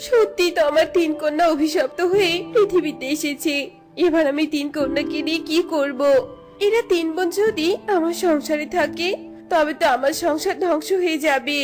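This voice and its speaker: A woman's voice: sits high, normal speed, music in the background, lightly acted. A woman voicing a distressed village mother in a cartoon fairy tale, plaintive, dramatic and lamenting. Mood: worried.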